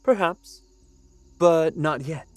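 A man's voice: a little high, slow pace, a little mellow, dry recording. A man playing a fussy, confident god of order in a fantasy audio drama, pedantic and precise. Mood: brisk, helpful.

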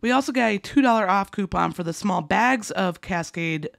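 A woman talks through shopping deals as the host of a coupon video. Her voice is rough and creaky, at a medium pitch, and recorded dry and close. She sounds chatty, folksy and excitable. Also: normal speed, no room sound. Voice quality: rough, gravelly. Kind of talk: enthusiastic casual deal rundown voice-over.

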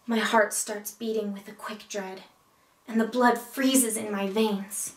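A woman playing a neurotic, melodramatic single woman in a comedic stage monologue, with deliberate dramatic pauses. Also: medium pitch, fairly even intonation, natural voice. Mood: dramatic dread. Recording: echoey room, distant mic.

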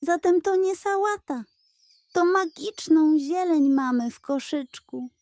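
A pompous, theatrical male pug from a children's audio story, acted by a woman. The voice is high and lifted, with grand, effusive proclamations. The recording is close and dry. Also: slow, a little mellow, no echo. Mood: rapturous.

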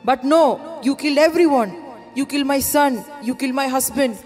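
A woman voices the ghost of a wronged mother-in-law, high-pitched and pleading. Her accusing questions ring out in a long echoing reverb, with music under her. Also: moderate pace, darkish tone, natural voice.

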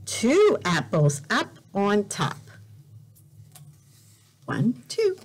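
A woman teacher reading a picture book aloud to children in a deliberate, exaggerated way, repeating words for learners, recorded indoors on a device camera. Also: medium pitch, wildly swooping pitch, breathy edge. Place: indoors in a room by a bright window.

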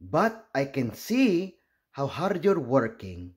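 An encouraging schoolteacher from a children's storybook, voiced by a man reading aloud. The delivery is patient and gentle, slow, with coaxing rises and falls in pitch. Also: huge pitch swings. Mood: reassuring.

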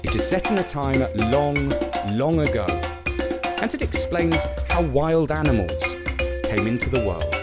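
A man reading a children's folktale as an expressive storybook narrator. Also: on the high side, measured pace, over loud music.

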